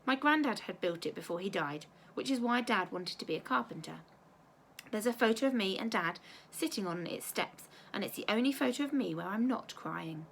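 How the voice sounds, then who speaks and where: A woman teacher reading a children's storybook aloud with expressive narration. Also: medium pitch, moderate pace, gravelly, slight background noise.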